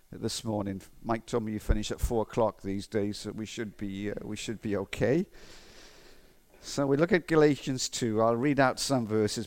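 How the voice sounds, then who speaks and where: Middle-aged male preacher, faded Welsh accent, conversational sermon delivery. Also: fairly low pitch. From Wales. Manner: conversational, with frequent restarts and fillers. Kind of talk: sermon with personal stories and Bible reading.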